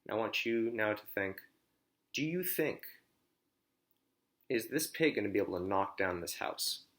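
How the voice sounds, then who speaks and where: A man reading a children's storybook aloud like a teacher, expressively, pausing to explain and ask questions. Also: fairly low pitch, animated, a bit bright.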